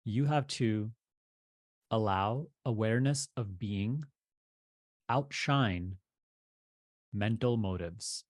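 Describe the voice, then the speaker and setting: Low-voiced man guiding a meditation. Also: takes it slowly, pauses often, no echo, clean studio sound. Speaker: meditation teacher. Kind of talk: guided meditation.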